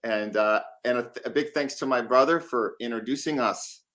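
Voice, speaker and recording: A man's voice: medium pitch, moderate pace, fairly bright tone. Male host, conversational interviewer, mid-range voice.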